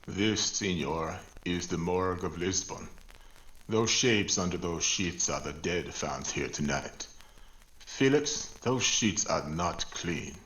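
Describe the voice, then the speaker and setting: A man playing a weary, sardonic older Portuguese police chief in a 1940s-style radio drama, speaking deliberately and with dry scorn. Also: low-pitched, animated, fairly bright tone, slight background noise, full character voice, low-quality recording. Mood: stern, scornful. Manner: slow, deliberate, dryly scornful.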